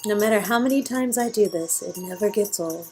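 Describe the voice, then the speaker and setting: A woman's voice: mid-range voice, a little fast, faint music behind, close to an everyday voice. A woman playing a self-assured young female movie star in a read-aloud game. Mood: wistful. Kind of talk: playful game dialogue reading.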